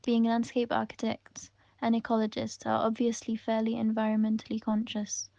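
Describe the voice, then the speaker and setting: A woman reading interview answers aloud in the first person, in an even, gentle and unhurried way. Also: fairly high pitch, vocal fry, dry recording, highs cut off.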